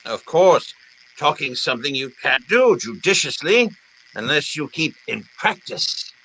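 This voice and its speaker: An actor playing a jovial, wordy, calculating fat crime boss in florid old-time radio style. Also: male voice, fairly high pitch, very animated, a bit bright, full character voice, rough recording. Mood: genial, measured. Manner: florid, theatrical, deliberate phrasing.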